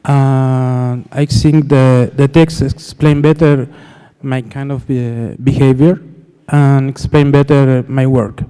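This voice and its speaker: A man with a mother tongue speaks slowly and haltingly, stopping often to find his words. His voice is smooth and mellow. The sound carries the long echo of a lecture hall. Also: medium pitch, flat delivery. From Chile. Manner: hesitant, searching for words, many fillers.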